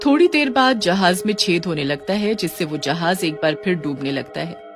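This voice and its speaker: Animated woman narrating a cartoon story. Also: on the low side, normal speed, faint music behind.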